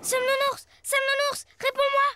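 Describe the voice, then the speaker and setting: A woman voicing a plucky, cheeky little boy superhero in a French children's cartoon, excitable and exclamatory. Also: extremely high pitch, normal speed, noisy background. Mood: urgent, alarmed.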